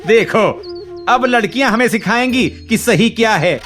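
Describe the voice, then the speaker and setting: High-voiced, scornful village troublemaker. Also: male voice, moderate pace, a bit bright, soft background music. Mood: rabble-rousing. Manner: goading, scornful. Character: scheming, indignant young male village agitator.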